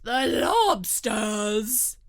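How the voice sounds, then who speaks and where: A woman's voice: medium pitch, very slow, clean tone, no room sound, studio quality. Woman doing a bossy, boisterous Gryphon. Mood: wildly excited. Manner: loud, emphatic exclamations.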